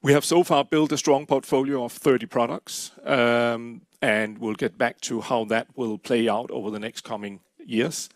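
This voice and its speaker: A man's voice: medium pitch, normal speed, few pauses, no echo, studio quality. A middle-aged Danish man, speaking English with a Danish accent, presenting his company at an investor event in a businesslike way. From Denmark. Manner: businesslike, steady with frequent uh fillers.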